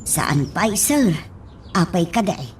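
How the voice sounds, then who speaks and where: An actress playing a deferential, anxious household nanny in a radio drama, with noise in the background. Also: female voice, on the low side, sing-song, slow.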